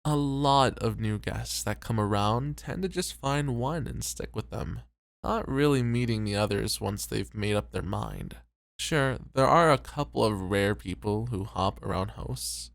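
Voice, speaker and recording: A man's voice: on the low side, unhurried, creaky, no room sound, studio quality. A man voicing a conscientious, weary, self-doubting host in an ASMR roleplay, sincere and a little hesitant. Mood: curious, relaxed. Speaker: voice actor.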